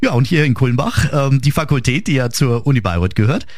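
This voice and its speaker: A man's voice: mid-range voice, lively intonation, fairly quick, dry recording. A man co-hosting a radio show in a studio, friendly and explanatory. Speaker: radio host. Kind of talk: radio co-presenting. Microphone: studio microphone.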